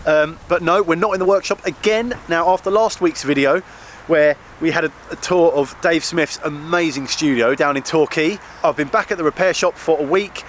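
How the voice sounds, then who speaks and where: A man with a slightly croaky voice presenting a casual vlog as its host. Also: on the high side, normal speed. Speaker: video host. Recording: outdoor ambience, clear background noise.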